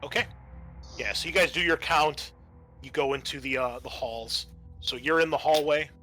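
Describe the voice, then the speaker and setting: A man working as game master of a tabletop roleplaying game, narrating in a conversational, halting way. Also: a little high, sharp tone, soft background music.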